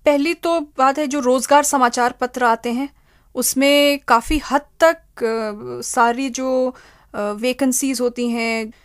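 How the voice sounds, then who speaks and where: Female interview guest, higher-pitched, explanatory delivery with examples, dry recording. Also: fairly even intonation, slow pace, no echo. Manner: explanatory, spells out terms with examples. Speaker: human resource consultant, interview guest.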